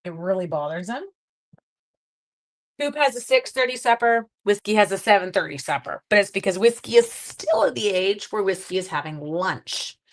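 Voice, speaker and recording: A woman's voice: mid-range voice, animated, normal speed. A sleepy, groggy woman hosting a casual crafting live stream, chatting with viewers as she works and making playful little sound effects. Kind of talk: casual crafting demo with chat.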